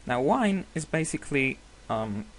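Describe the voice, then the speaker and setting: A man whose first language is Hebrew, giving a screen-recorded software tutorial with many hesitations and self-corrections. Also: medium pitch, sing-song, unhurried, a little hiss or hum. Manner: apologetic, laughs at himself.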